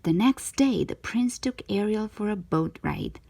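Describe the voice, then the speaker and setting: A woman narrating a children's storybook in an expressive read-aloud style, with a breathy voice. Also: mid-range voice, measured pace.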